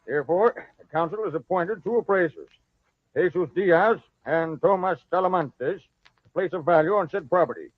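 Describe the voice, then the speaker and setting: An actor playing a stern, formal council official reading out a verdict in an old-time radio drama. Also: male voice, sits high, moderate pace, no echo. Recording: dry, tinny old radio recording.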